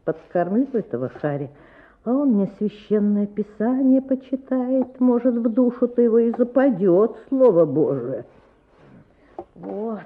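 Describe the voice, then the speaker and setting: Casting: elderly villager for radio drama, devout, kindly, folksy, fairly high voice, dull vintage sound. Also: female voice, lively intonation, a little slow. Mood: confiding. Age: older. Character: pious kindly old village woman, gently sceptical. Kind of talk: Soviet radio play acting. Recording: muffled old radio play recording.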